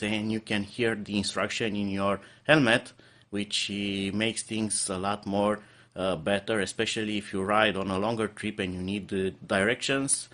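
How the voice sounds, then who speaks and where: Low-pitched man explaining a product. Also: fairly bright tone. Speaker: product reviewer. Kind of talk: unscripted unboxing and product review.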